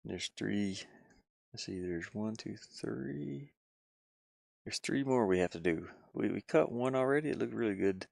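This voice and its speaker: Male game dev streamer, gravelly, very dry close sound, hesitant off-the-cuff commentary. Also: on the low side, lively intonation, unhurried, a little mellow, dry recording. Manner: rambling, musing, hesitant. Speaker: game developer live streamer. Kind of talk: casual live stream commentary, thinking aloud.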